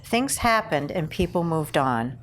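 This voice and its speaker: A woman, an actor, casually discussing a script and pausing tentatively as she explains. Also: sits low, big pitch swings, slight background noise. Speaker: actor at a table read.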